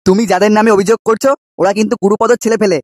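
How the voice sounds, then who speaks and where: Desk policeman for a cartoon, male actor: high-pitched, quick, businesslike, very dry sound. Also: fairly even intonation, darkish tone, dry recording. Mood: businesslike, cautioning. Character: matter-of-fact cartoon police officer warning about a local don. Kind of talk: comedy cartoon dubbing.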